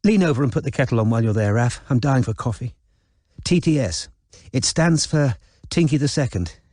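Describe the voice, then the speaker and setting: A man's voice: mid-range voice, expressive, brisk, darkish tone, dry recording, studio quality, voice pushed up, natural voice. A male narrator voicing a chatty, upper-class young woman in an audiobook. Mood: gossipy.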